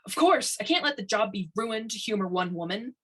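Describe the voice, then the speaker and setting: A harried construction boss read by a woman in a science fiction storybook, stubborn and blunt. He speaks emphatically in a slightly husky voice. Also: mid-range voice, expressive, brisk.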